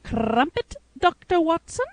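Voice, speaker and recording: A woman plays a motherly, deferential housekeeper in a Victorian audio drama. Her voice is high and very lively in pitch, with a fussy singsong delivery. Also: slow pace, dry recording, full character voice. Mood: eager, fussing.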